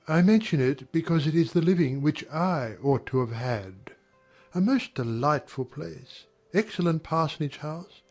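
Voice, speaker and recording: Woman as a wistful, self-pitying young gentleman. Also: sits low, measured pace, faint music behind, pitched below the performer's own voice. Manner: wistful, mannered. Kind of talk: dramatized audiobook character acting.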